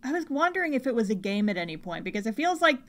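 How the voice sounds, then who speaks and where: Woman, podcast co-host, somewhat high pitch, playful, expressive, slangy casual chat. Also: fast talker. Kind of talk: casual chat about anime.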